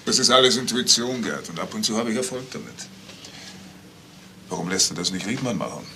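A dry, self-assured radio-drama investigator. His voice is fairly low and gravelly, with clear background noise. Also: lively intonation, a little fast. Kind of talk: German crime radio drama acting. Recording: clearly noisy ambience.

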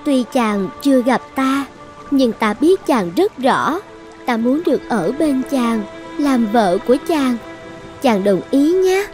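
A high-pitched young enchantress rules her island in a fairy-tale cartoon. She is possessive and sure of herself, sliding between sweet coaxing and threats, with music behind her. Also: lively intonation, slow, a little mellow. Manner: commanding, self-assured.

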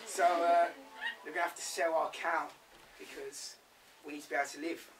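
A man's voice: a little high, fairly even intonation, moderate pace, fairly bright tone, natural voice. A young man playing a brassy, joking mother as a pantomime dame, chatting with the audience on a small theatre stage. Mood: chatty. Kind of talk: school pantomime acting. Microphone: distant camera mic. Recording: distant, noisy stage recording.

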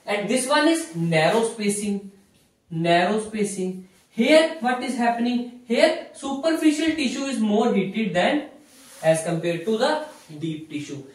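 A man's voice: high voice, animated, a little slow. A young man with an Indian accent teaching at a whiteboard as a lecturer, repeating himself and answering his own questions, recorded from a distance in a small room. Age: young adult. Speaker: physiotherapy lecturer. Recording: echoey room, distant mic.

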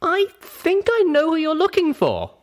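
An anxious young pony mare voiced by a man with a very high falsetto. She is small and earnest, a storybook heroine full of questions. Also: huge pitch swings, a little slow, full character voice. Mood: hopeful. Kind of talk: audiobook character voice.

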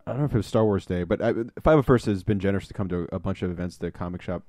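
A man's voice: low-pitched, very fast, mellow, no room sound, clean studio sound. A man co-hosting a casual film commentary, telling loose, profane, rambling anecdotes. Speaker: podcast co-host, comic shop worker.

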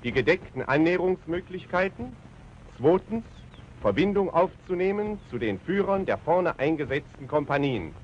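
An actor playing a stern German army commander giving a clipped, formal military briefing, on an old 1940s film soundtrack. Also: male voice, a little high, very animated, moderate pace. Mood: commanding. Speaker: regimental commander briefing officers. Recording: dull 1940s film soundtrack with faint hiss.

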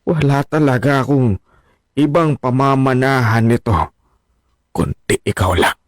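An actor playing an ailing older father in a radio drama, halting, weary and imploring. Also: medium pitch, no echo, studio quality, natural voice. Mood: pleading. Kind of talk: Filipino radio drama acting.

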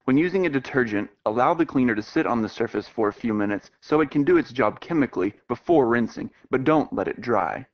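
An American man reading scripted instructional narration in a measured, explanatory way. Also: mid-range voice, animated. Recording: dull, lo-fi web video audio.

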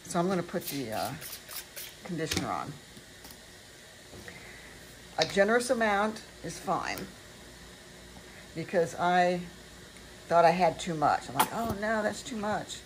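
Older lady doing a chatty craft tutorial, low voice, pretty noisy kitchen recording. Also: sing-song, unhurried, long pauses. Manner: rambling, chatty, self-correcting. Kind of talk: casual craft demonstration, talking through steps. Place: home kitchen at a countertop. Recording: clearly noisy home recording with clicks.

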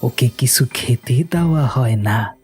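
A man playing a quiet, secretive young villager in a horror cartoon, muttering grimly with rustic phrasing. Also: mid-range voice, expressive, takes it slowly, soft background music. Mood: secretive, brooding.

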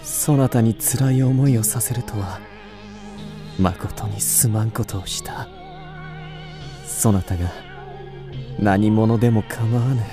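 A man's voice: lowish voice, slow pace, pauses often, music in the background. A man playing a lovestruck young samurai with earnest, old-fashioned formal speech in a radio drama. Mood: tender, resolute. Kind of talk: Japanese radio drama acting.

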